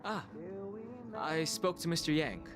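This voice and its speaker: A man voicing a calm, reserved, dutiful young guard in a video game, speaking in a measured, polite way over music. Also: a little high. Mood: calm, courteous. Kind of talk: video game character acting.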